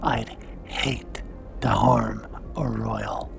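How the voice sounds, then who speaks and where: Gravelly, smug villainous guard captain, acted by a man. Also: on the low side, steady tone, exaggerated voice. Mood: smug menace. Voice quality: creaky, gravelly. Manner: slow, smug, formal taunting. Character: snake-like, taunting captain of the royal guard, man. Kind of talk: science-fiction audio drama acting. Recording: music under the voice. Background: dramatic music.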